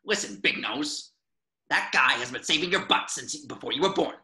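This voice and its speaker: A man's voice: medium pitch, lively intonation, normal speed, pushed and bright. A man playing a grumpy, sarcastic superhero sidekick in a cartoon table reading, whining and griping in an echoey room.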